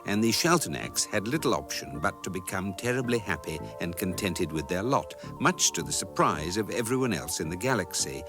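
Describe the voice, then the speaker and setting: Deep, urbane British narrator. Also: male voice. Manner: measured, deadpan, erudite. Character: urbane, dry, all-knowing guidebook narrator. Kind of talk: scripted comic narration.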